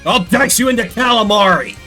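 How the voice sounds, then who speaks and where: A man's voice: sits high, expressive, a little fast, bright, forceful tone, soft background music, voice pushed up. A man voicing a brash, cheerful rodent bagpiper from a video game, with a Scottish accent and a folksy manner. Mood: eager, cocky.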